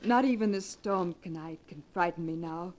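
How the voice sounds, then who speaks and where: A woman's voice: lowish voice, normal speed, a little mellow, close to an everyday voice. A devoted young wife in a haunted-house radio play, acted by a woman. She is earnest and uneasy, pleading in the theatrical style of the 1940s. The old recording carries a light layer of noise. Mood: wistful. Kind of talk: 1940s radio melodrama acting.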